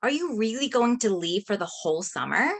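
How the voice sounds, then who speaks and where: A woman's voice: mid-range voice, expressive, a little fast. A woman at a script table read playing an earnest, emotional teenage best friend.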